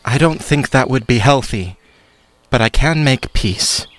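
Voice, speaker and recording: A man's voice: mid-range voice, animated, a little slow, a bit bright. A man playing an earnest half-human satyr in a theatrical audio drama. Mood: measured.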